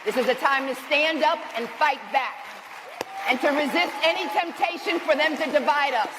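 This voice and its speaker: A man delivering a loud, declamatory, defiant political speech to rally people. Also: extremely high pitch, moderate pace. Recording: noisy live crowd recording.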